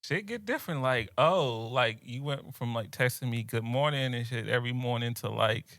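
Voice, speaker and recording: A man's voice: medium pitch, moderate pace, no room sound, clean studio sound. A young man, co-hosting a podcast and telling a story in casual chat, laughing often and dropping his voice when he quotes people. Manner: animated, laughs often, drops to quiet voices when quoting others.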